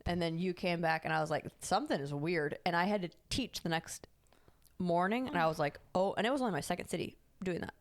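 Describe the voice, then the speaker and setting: Woman, podcast co-host, casual chat, rambling storyteller, lower voice. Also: fast talker. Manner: rambling storyteller who corrects herself.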